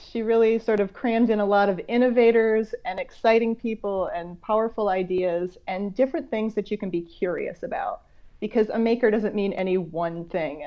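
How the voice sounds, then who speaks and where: An American woman, a children's author, talking conversationally and enthusiastically in simple, child-friendly terms as an interview guest. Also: mid-range voice. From United States. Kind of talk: conversational interview chat about books. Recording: dull, lo-fi video call audio.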